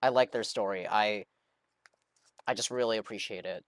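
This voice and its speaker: A man reacting casually on a game livestream, speaking earnestly and a little haltingly as he gathers his thoughts. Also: on the low side, noisy background. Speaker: game streamer.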